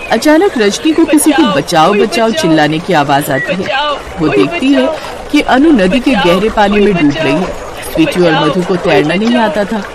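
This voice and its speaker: A woman narrator reading a scripted moral story. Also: medium pitch.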